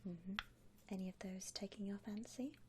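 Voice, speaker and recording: A woman whispering breathily in an ASMR roleplay as a polite shop assistant, gently reassuring a customer with hesitant, accommodating patter. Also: mid-range voice, normal speed, noisy background. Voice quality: breathy, mostly unvoiced whisper. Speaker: ASMR roleplayer playing a coffin shop saleswoman.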